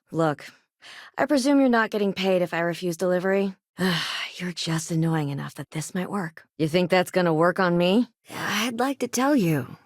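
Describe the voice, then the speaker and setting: A woman's voice: on the low side, moderate pace, no room sound, close to an everyday voice. An actress playing a no-nonsense, weary but caring woman doctor in a video game, speaking in a dry, conversational way. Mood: measured, wry. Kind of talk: scripted game dialogue lines.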